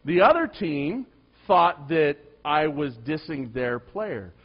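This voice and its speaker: A middle-aged male pastor with a soft voice, telling a story in a sermon and swinging between loud emphasis and hushed asides. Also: a little high, sing-song, slow pace, dull audio.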